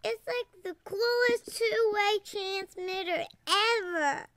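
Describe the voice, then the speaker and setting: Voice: very high-pitched, slow, a bit bright, no room sound, full character voice. A performer playing an eager young child cadet in a children's audio drama, speaking earnestly and simply. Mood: excited.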